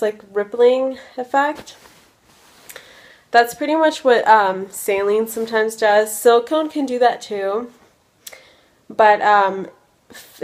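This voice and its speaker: Young woman in her twenties vlogging, kinda loopy from meds, rambly with tons of likes and ums. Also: mid-range voice. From United States. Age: 20s. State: drowsy from medication. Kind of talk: casual vlog chat.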